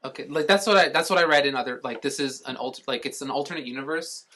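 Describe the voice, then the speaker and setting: A man's voice: medium pitch, fast talker, pushed and bright. A man co-hosting a podcast, explaining things in a casual, rambling way with offhand swearing. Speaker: podcast co-host. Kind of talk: casual chat.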